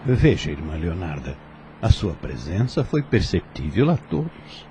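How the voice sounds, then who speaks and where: A man's voice: low voice, very animated, unhurried, dark tone, a little hiss or hum, full character voice. A Brazilian man playing a serene, fatherly spirit instructor in an audio drama, speaking formally and consolingly as he teaches. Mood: gently consoling.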